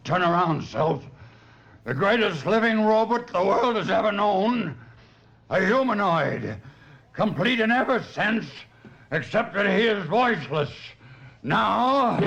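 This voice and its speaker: A weary stage ventriloquist with a hoarse, gravelly, fairly high voice. His showman's patter falters and halts, in radio drama style on a muffled recording. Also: fairly bright tone, full character voice. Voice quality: hoarse, creaky.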